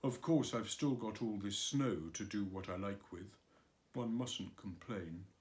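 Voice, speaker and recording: A man's voice: lowish voice, a little slow, darkish tone, voice pushed down, natural voice. A man voicing a gloomy, grumbling male donkey in a storybook reading, sighing and self-pitying.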